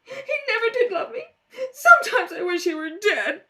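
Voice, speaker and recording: A woman acts out a distraught young wife for a radio play. Her voice is very high and swings widely, sobbing and wailing with bitter, hysterical melodrama. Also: big pitch swings, moderate pace, bright, forceful tone, exaggerated voice. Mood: bitter, upset.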